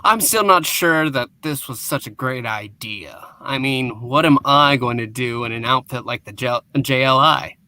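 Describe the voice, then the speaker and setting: A man's voice: medium pitch, normal speed, hardly any pauses, pushed and bright, dry recording, close to an everyday voice. A man reading the part of a self-conscious, doubtful American superhero in an amateur comic book reading, casual and grumbling. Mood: doubtful, curious.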